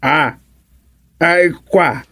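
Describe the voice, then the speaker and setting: A man acting a slow-drawling, teasing village in-law. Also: fairly high pitch, gravelly, bright, forceful tone, exaggerated voice. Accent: Ilocano. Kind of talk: Ilocano comedy radio drama acting.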